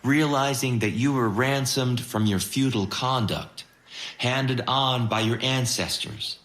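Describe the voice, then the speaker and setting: A man reading an epistle in a solemn, measured, exhorting manner. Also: on the low side, a bit bright. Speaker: scripture reader.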